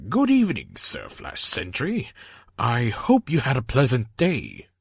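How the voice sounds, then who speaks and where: A man voicing a kind, timid elderly stallion servant with humble, courteous and halting speech in a story reading. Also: mid-range voice, huge pitch swings, no echo, studio quality, pitched above the performer's own voice, exaggerated voice. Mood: gentle, courteous. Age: older. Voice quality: gravelly, raspy. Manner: slow, halting, formal and courteous. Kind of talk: acted character voice in a story reading.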